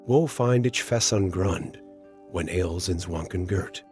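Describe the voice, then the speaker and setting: A man speaking a slow, consoling devotional monologue in the voice of God. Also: sits low. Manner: soft, consoling, unhurried. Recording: faint music underneath.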